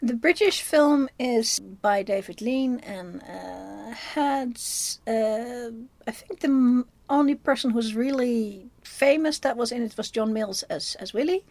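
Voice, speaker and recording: A woman with a Dutch accent giving a casual film review, speaking haltingly as she thinks aloud. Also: on the high side, darkish tone, no room sound. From Netherlands.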